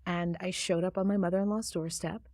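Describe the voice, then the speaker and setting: Woman guest telling her story softly, bit of a low voice, dry studio sound. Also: steady tone, fairly quick, no echo. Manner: reflective, soft-spoken storytelling. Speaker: author and guest. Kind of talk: conversational interview.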